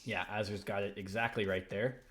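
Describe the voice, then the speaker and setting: A man's voice: lowish voice, flat delivery, fairly quick, crowd noise. A man commentating a strategy game tournament, explaining the rules at length and chuckling often. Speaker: tournament caster.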